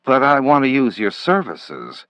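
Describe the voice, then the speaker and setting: A man's voice: mid-range voice, huge pitch swings, measured pace, dry recording, highs cut off, pitched above the performer's own voice. A man voicing a meek, bored man who talks hesitantly and mumbles, in a story reading.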